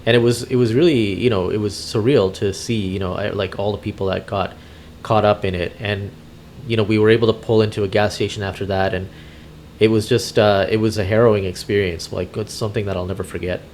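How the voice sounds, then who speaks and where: A man with a fairly low voice talks casually, as if improvising a roleplay while gaming. He is halting and often repeats words as he thinks, with some noise in the background. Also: steady tone, a little mellow. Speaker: gamer, video host roleplaying a truck driver.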